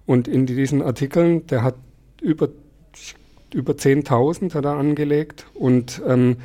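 A man in his forties whose first language is Alemannic, a podcast guest explaining things calmly with frequent pauses and fillers. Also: mid-range voice. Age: 40s. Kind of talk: conversational interview.

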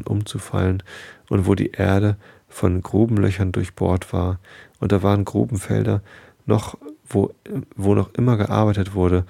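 Man with a head cold, nasal, low gravelly voice, husky, calm bedtime story narration, dry close mic. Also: dry recording. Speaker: podcast host. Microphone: close podcast mic.

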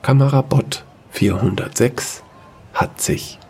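A man narrating a sci-fi fairy-tale audio story in a measured storytelling manner. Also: medium pitch, background noise.

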